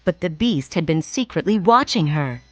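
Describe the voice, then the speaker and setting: A woman narrating a children's read-along storybook in a clear, expressive way. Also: lowish voice, moderate pace. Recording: music playing behind.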